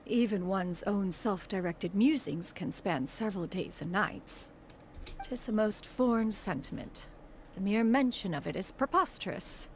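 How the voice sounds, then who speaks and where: An actress playing a haughty, ancient immortal mentor in a video game, with pompous archaic speech. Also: female voice, mid-range voice, expressive, a little slow, slight background noise, close to an everyday voice. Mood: dismissive. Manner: pompous, archaic speech, refers to self as "one". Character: haughty ancient adeptus bird in woman's form, imperious mentor. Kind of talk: scripted video game dialogue. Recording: music playing behind.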